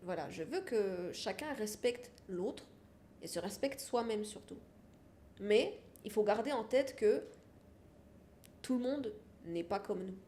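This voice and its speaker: A woman's voice: mid-range voice, expressive, takes it slowly, mellow, a little hiss or hum. A woman from France, a podcast guest, talking frankly in a casual interview and repeating her phrases for emphasis.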